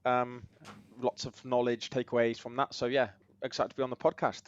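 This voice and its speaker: A man with a slightly croaky voice, chatting in a relaxed, easygoing way as a podcast guest. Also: mid-range voice, fairly quick, no echo, muffled recording. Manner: relaxed, easygoing, frequent ums. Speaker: guest, technical SEO lead. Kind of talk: casual interview chat.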